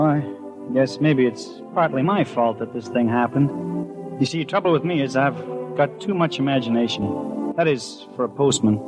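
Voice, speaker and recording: A man's voice: medium pitch, close to an everyday voice. An actor playing an earnest, imaginative small-town postman with a folksy, hesitant manner in a 1950s radio drama. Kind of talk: 1950s radio acting, first-person storytelling and dialogue. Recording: dull old radio recording.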